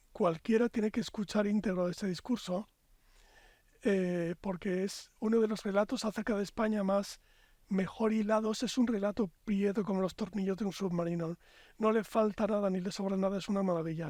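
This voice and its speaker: A man's voice: high-pitched, darkish tone, no echo, clean studio sound. A man with a Spanish accent, a podcast guest, talking in long reflective, expansive stretches. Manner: reflective, expansive, builds long lyrical arguments. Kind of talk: casual podcast conversation.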